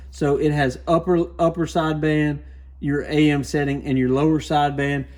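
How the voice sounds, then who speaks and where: A man's voice: fairly high pitch, mellow. A man presenting a casual product demonstration with beginner advice, explaining things in an informal, plainspoken way. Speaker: radio shop product reviewer, presenter.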